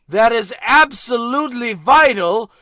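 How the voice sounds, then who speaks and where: An actor playing a bossy, pompous, short-tempered space captain in a scripted old-time radio comedy. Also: male voice, very high voice, lively intonation, unhurried, bright, forceful tone, dry recording, studio quality, heavily acted. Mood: indignant. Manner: pompous, blustering, commanding.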